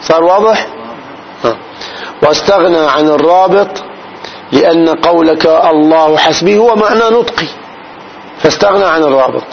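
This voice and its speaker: A man teaches a classical grammar lesson in a slightly raised voice. He breaks each point down slowly and keeps asking his students whether it is clear. The recording sounds dull, with a faint hiss behind him. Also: a little high. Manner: explains slowly, often checks the class's understanding.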